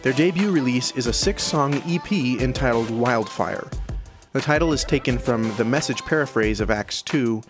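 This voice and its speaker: Guy hosting a music news audio newsletter, reading a script, mid-pitched voice, music clearly playing behind him. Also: moderate pace. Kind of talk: scripted narration. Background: background music.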